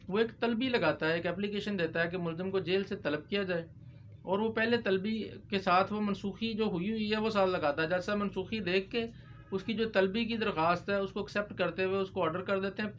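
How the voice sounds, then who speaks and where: A man explaining legal procedure as a lawyer in discussion, mixing in English legal terms. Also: high voice. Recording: clear background noise.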